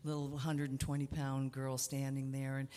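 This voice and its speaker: A woman from Canada, a country singer, answering interview questions on a radio show in a low voice, with many fillers and restarts. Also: completely flat delivery, normal speed, mellow. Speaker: guest musician.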